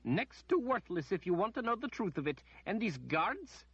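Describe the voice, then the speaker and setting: A man voicing a haughty, shrill noblewoman who shrieks and whines petulantly. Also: big pitch swings, moderate pace, fairly bright tone, breathy edge, dry recording, dull audio, full character voice. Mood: imperious.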